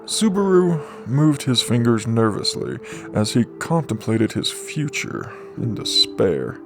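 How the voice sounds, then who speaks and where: A man's voice: mid-range voice, very animated, takes it slowly, over music. A man reading out scripted narration in the manner of an amateur audiobook. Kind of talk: scripted light novel narration.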